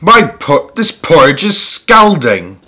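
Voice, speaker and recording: A man voicing a big old papa bear for a children's storybook, in a gruff, dramatic manner. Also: a little high, very animated, takes it slowly, pushed and bright, pitched above the performer's own voice, full character voice. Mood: pained, exclaiming. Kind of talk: storybook character voice.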